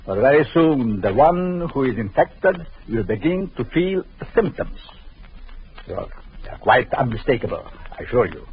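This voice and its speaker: An actor playing a cruel, vengeful doctor in an old radio drama, taunting in a slow, sinister way. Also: male voice, mid-range voice, big pitch swings, slight background noise. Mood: menacing. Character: vengeful jealous male doctor, gloating and cruel. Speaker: villain. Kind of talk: 1940s radio acting. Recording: muffled old radio recording.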